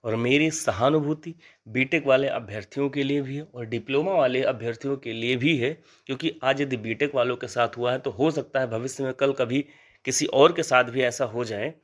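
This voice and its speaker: A man with a medium-pitched voice speaks as the host of an informal update channel. He explains matters to his viewers in an earnest, advisory way. He often restates his points to stress them. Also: moderate pace.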